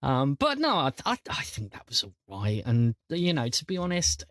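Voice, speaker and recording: A man chatting casually as a podcast host and game master, often repeating words as he thinks out loud. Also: mid-range voice, wildly swooping pitch, normal speed, no room sound, clean studio sound.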